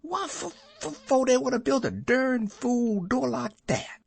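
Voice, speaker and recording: A woman reading aloud and voicing a clumsy, plump male servant with a gravelly, husky sound. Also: sits high, animated, unhurried, pitched above the performer's own voice, full character voice. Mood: complaining.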